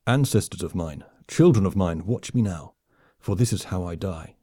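Man playing a stoic old alien warrior, dry, low, mellow, unhurried. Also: slightly rough, no echo. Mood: deadpan. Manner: low, understated, deadpan. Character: calm, dry-witted old alien warrior turned accountant.

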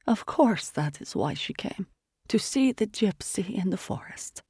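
Scornful young forest fortune-teller woman, acted by the reader, husky and gravelly, pitched higher and whispered. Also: animated, unhurried, darkish tone, no room sound, studio quality, full character voice. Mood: taunting. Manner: scornful, taunting, drops to a raspy whisper.

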